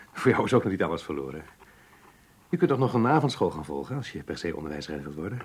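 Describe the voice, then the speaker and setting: A man's voice: sits low, big pitch swings, brisk, long pauses. A man playing a kindly, fatherly schoolteacher in a radio play, genial and a little hesitant, on a noisy old recording. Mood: encouraging, measured. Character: kindly, older male schoolteacher, fatherly and measured. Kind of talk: Dutch radio play acting.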